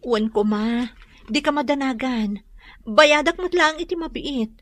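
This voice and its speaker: A woman's voice: high voice, measured pace, a bit bright, no room sound. A woman playing a worried, apologetic mother in a radio drama, pleading with a Filipino accent. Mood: troubled. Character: worried, pleading mother behind on boarding house rent.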